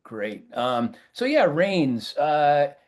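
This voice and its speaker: An American man speaking as a professor on a webinar panel, halting often with fillers and restarts over a video call. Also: a little high, big pitch swings, darkish tone. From United States.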